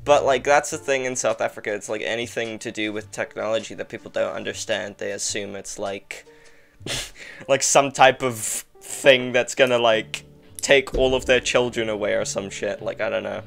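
A man's voice: lowish voice, steady tone, moderate pace, gravelly, fairly bright tone, soft background music. A young man from England chatting casually as a podcast guest over a video call, rambling and filling his talk with "like". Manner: rambling, heavy use of "like", frequent laughter. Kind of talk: casual chat.

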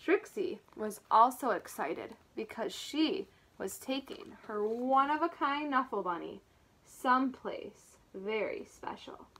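A woman with a high, bright voice reads a storybook to little children. Her delivery is slow and very lively, like a preschool teacher, with expressive asides to explain things simply. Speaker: preschool teacher reading a picture book aloud. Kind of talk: animated read-aloud storytelling for small children.

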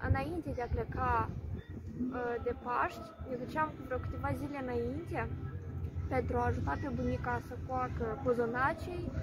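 A young woman on a park bench recalling family gatherings, with frequent "uh" pauses. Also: high-pitched. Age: young adult. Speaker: passerby interviewed. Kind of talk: street interview answer. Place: outdoors in a city park, seated on a bench. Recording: loud outdoor noise.

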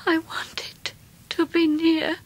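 A frail, loving young English mother on her deathbed. She speaks slowly in a high, faint, breathy voice, pleading gently as in a radio play. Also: completely flat delivery. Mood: weak, loving. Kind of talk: radio drama acting.

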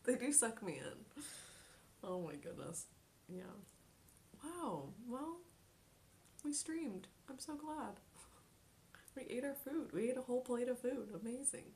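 A young woman in her twenties chatting casually on a live stream from her bedroom, reading chat aloud, giggling often and dropping into soft near-whispers. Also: on the high side, lively intonation, slow, pauses often, a little hiss or hum. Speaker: Twitch streamer. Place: small bedroom at home.

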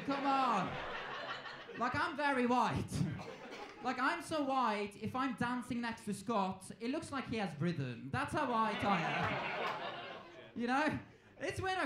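A young man with a Norwegian accent performs stand-up comedy into a handheld stage mic. He talks conversationally and playfully acts out exchanges. The club sound is roomy and echoing, with a little background noise. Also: extremely high pitch. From Norway. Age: 20s. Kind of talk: live stand-up comedy routine. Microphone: handheld stage microphone.

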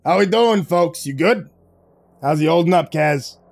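A man voicing a loud, chummy male boss who talks in a booming, casual way. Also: normal speed, dry recording, natural voice. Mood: breezy. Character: loud breezy male boss over a speaker.

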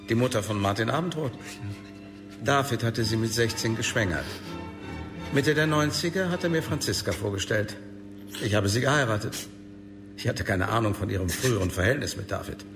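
An actor playing a cold, sadistic middle-aged professor in a radio crime drama, menacing, sardonic and deliberate. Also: male voice, mid-range voice, lightly acted. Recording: long reverb, music underneath.

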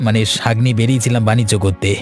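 Man as a weary shipwrecked merchant, mid-pitched. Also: fairly even intonation, rapid-fire, faint music behind, natural voice. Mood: solemn. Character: shipwrecked foreign spinach merchant, weary and grateful. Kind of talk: Bengali cartoon story dialogue.

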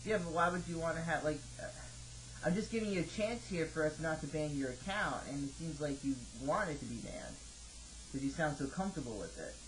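A man in his twenties talking haltingly and questioningly, like a customer service caller on a phone call. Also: a little high, lively intonation. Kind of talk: hesitant phone conversation. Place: home office, indoors. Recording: noisy home computer microphone recording.

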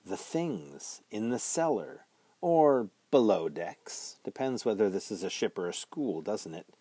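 A man reading an audiobook, voicing a calm, menacing boy in a dream who taunts slowly. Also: on the low side, breathy edge, dry recording, heavily acted. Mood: taunting. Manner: slow, taunting.

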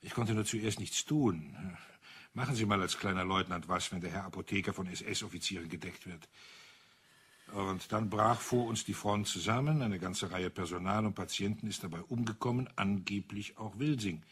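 An actor playing an old retired officer in a crime radio play, telling things in a deliberate, matter-of-fact, bitter way with a husky voice. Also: male voice, low voice, fairly bright tone. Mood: grim, restrained. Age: older.

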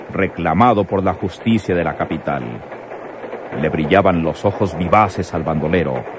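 A man narrating a radio drama in a scripted, dramatic style. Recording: noisy old radio, vehicle rumble.

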